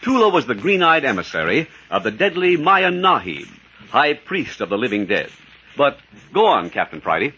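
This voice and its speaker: A man's voice: mid-range voice, big pitch swings, normal speed, sharp tone, breathy edge. A man announcing in dramatic 1940s radio style, recapping a serial as scripted narration. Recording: dull, noisy vintage radio recording.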